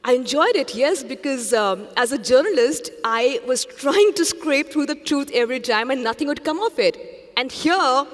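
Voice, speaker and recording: A woman from India, answering interview questions on stage in an earnest, reflective way. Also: high-pitched. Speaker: journalist, interview guest. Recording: long hall reverb.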